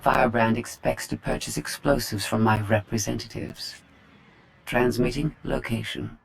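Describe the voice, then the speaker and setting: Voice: medium pitch, steady tone, moderate pace, gravelly, noisy background, lightly acted. A performer playing a cool, calculating informant in a video game, giving briefings in a precise, formal, detached way. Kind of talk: video game cutscene acting.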